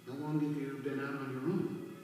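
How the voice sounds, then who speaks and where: A man playing an earnest, anxious cowboy doll in an animated storybook, with a hesitant manner. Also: mid-range voice, moderate pace, lightly acted. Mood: puzzled. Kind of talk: animated film storybook acting. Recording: very echoey distant hall, music underneath.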